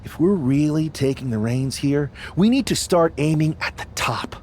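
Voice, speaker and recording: A man's voice: on the high side, lively intonation, moderate pace, darkish tone, a little hiss or hum, natural voice. A man playing a determined, ambitious young wrestler in a video game cutscene. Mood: resolute. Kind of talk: scripted game cutscene dialogue.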